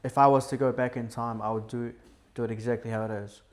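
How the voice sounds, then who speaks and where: A young man from Syria talks through his past on a podcast, relaxed and conversational. His voice sits at an average pitch, and he fills pauses with easy "um"s and "you know"s. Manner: relaxed, storytelling, frequent fillers. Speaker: podcast guest. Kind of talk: casual podcast interview. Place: indoor podcast setup.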